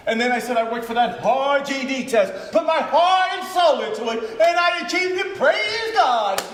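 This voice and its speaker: Actor as a cocky, high-swooping prison inmate. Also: male voice, expressive, normal speed, a bit bright. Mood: exultant boasting. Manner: cocky, exuberant, teasing. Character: swaggering male prison inmate, streetwise, boastful, flirtatious charmer. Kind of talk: live stage play acting. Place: stage of a theater, live performance. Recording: echoey theater, faint noise.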